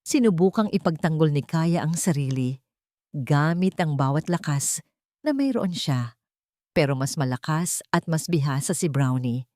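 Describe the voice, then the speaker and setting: A woman's voice: sits low, lively intonation, unhurried, mellow, dry recording, clean studio sound. A woman narrating a dramatic romance story in an emotive storytelling manner.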